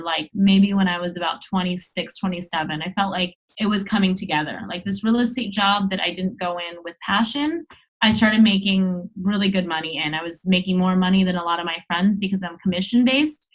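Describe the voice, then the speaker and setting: A woman's voice: fairly low pitch, low-quality recording. A woman in her mid-thirties from Los Angeles with an American accent, talking candidly and at length as a guest in a casual interview. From Los Angeles, United States. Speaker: interview guest, travel blogger.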